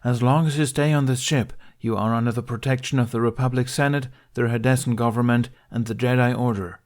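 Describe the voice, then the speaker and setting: A man acts out a Jedi master in a science fiction audiobook. He is calm and commanding, with formal, carefully enunciated phrases. The voice is raised and projected with steady authority. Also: mid-range voice, a little fast, voice pushed up, natural voice. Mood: stern, insistent. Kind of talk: acted audiobook dialogue in a science fiction story.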